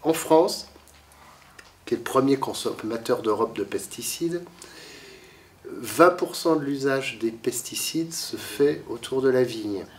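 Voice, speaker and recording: Animated French-speaking man with a cold. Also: mid-range voice, takes it slowly, recorded from a distance. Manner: animated lecturing with many fillers and restarts. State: has a cold, coughing. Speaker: author giving a talk. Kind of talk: public talk to a library audience. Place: library meeting room.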